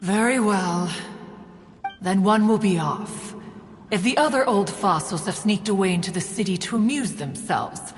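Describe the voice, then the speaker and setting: An actress playing a proud, ancient adeptus in a video game, speaking in a stately, formal, imperious way. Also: female voice, mid-range voice, lively intonation, a little slow, close to an everyday voice. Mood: haughty. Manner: stately, imperious, formal, calling herself "one". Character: haughty, proud ancient female adeptus, archaic formal speech. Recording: long reverb, music behind.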